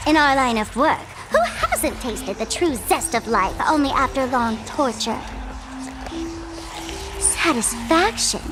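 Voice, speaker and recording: A woman voicing a mischievous, mocking young female trickster in an anime game, theatrical and playful. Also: high voice. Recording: game music behind.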